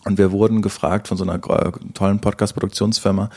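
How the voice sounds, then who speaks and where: A man's voice: lowish voice, little pitch movement, fast, a little mellow. A man chatting casually as the host of a podcast. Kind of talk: casual chat.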